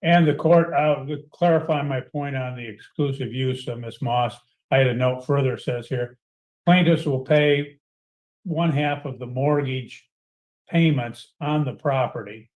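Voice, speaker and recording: Guy judge reading out court orders, level voice, formal and steady. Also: mid-range voice, dull audio. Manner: measured, formal, reads out orders.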